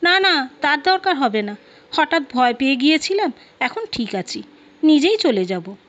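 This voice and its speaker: A woman's voice: high-pitched, sing-song, normal speed, fairly bright tone. A woman storyteller voicing a suspicious, insistent middle-aged wife and mother in a nagging manner. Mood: defensive. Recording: dull lo-fi audio, music behind.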